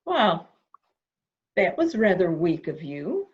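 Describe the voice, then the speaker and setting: An actress plays a calculating woman in an amateur mystery drama, coaxing and deliberate. Her pace is slow and her pitch swings widely. The recording is slightly dull and lo-fi. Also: sing-song. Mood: coaxing, goading. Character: calculating woman coaxing a dangerous childlike man. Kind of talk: amateur radio-play acting.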